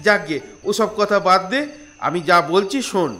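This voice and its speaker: A man's voice: sits high, normal speed, pushed and bright. A man voicing a preachy, earnest older schoolmaster in a comic cartoon, lecturing emphatically.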